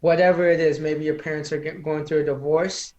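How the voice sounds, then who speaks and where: Earnest motivational man, slightly high voice. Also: little pitch movement, a little fast, darkish tone, reverberant, dull audio. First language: not English. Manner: earnest, motivational, speech-like delivery. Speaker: interview guest, public speaking coach. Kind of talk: casual interview chat.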